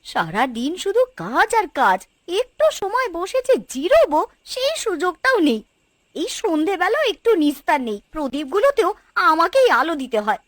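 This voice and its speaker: Woman as a shrill, fretful middle co-wife. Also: sing-song, moderate pace, a bit bright, no echo, exaggerated voice. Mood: grumbling. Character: excitable, fretful middle co-wife, complaining but soft-hearted. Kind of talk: Bengali cartoon folk-tale voice acting.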